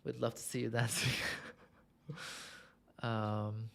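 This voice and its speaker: This young man from Lebanon has a gravelly edge and sits at an ordinary middle pitch. He chats loosely on a livestream, musing aloud with plenty of ums and uhs. He sounds amused at himself as he answers his viewers. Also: level tone, slow pace, a bit bright. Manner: chatty, self-amused, thinks aloud with many fillers. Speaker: livestream host. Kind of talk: casual livestream chat answering viewer questions.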